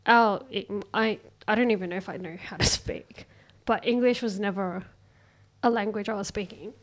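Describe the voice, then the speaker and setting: A woman's voice: mid-range voice, normal speed. A young woman, born in Hong Kong and raised in Sydney, co-hosting a casual podcast chat and steering the talk with plenty of fillers. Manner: relaxed, leads the talk with frequent fillers. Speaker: podcast co-host.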